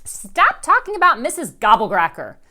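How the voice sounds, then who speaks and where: A woman's voice: fairly high pitch, wildly swooping pitch, measured pace, pitched above the performer's own voice. A woman voicing an impatient older sister who scolds and snaps at a younger sibling. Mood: scolding. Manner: scolding, exasperated.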